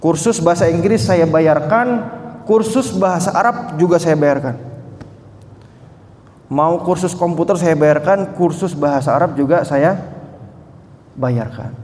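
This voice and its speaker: Guy preaching islam, kinda high voice, emphatic teacher style, long echo like a big hall. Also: measured pace, pauses often, darkish tone. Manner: emphatic, didactic, quoting Arabic scripture. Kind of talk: religious lecture to a live audience, with storytelling and questions to listeners. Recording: reverberant hall sound.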